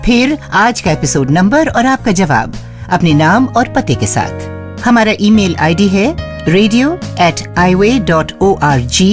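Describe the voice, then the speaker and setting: A woman's voice: mid-range voice, expressive, takes it slowly, music in the background. A woman presenting a scripted radio show, explaining things step by step and addressing listeners as friends.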